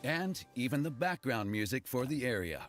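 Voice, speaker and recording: A man's voice: mid-range voice, sing-song, moderate pace. A man presenting features in a scripted promotional narration. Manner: promotional, smoothly paced presentation. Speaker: showcase presenter. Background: music playing.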